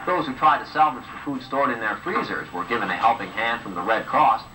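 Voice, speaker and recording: A man's voice: mid-range voice, brisk, pushed and bright. A man presenting the news, reading scripted broadcast narration. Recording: old home videotape of TV news, distant, hissy.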